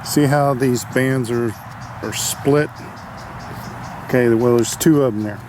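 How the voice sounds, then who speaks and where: A man giving a casual, unscripted hands-on repair demonstration, halting as he thinks aloud. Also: medium pitch, darkish tone, faint music behind. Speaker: hobbyist radio restorer demonstrating a repair. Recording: clearly noisy amateur video sound.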